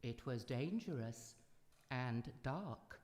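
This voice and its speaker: A woman's voice: rumbling low, lively intonation, takes it slowly, darkish tone. A woman narrating a scripted fairy-tale radio drama in a dramatic storytelling manner. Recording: echoey hall, music behind.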